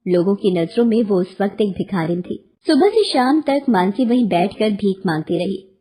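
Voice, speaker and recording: A woman's voice: mid-range voice, lively intonation, normal speed, mellow. A woman storyteller giving scripted narration of a children's moral story.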